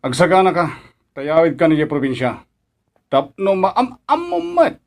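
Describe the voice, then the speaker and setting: An actor with an Ilocano accent playing a confident, persuasive young farm-bred boyfriend in a radio drama. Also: male voice, fairly high pitch, wildly swooping pitch, unhurried, gravelly, exaggerated voice. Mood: persuasive, decided.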